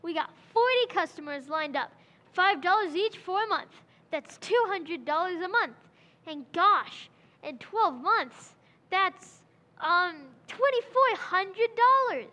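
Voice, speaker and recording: A woman's voice: extremely high pitch, expressive, slow pace, pushed and bright, long reverb tail, exaggerated voice. A woman voicing an eager, enterprising teenage boy in an old-time radio play recreation, pleading and earnest.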